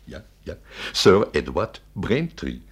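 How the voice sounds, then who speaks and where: An old gentleman collector in a 1950s radio play, with a very husky, low voice. He is fussy and effusively courteous, stammering and repeating himself as his pitch swings in lively fashion. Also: slow, heavily acted. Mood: earnest, plaintive. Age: older.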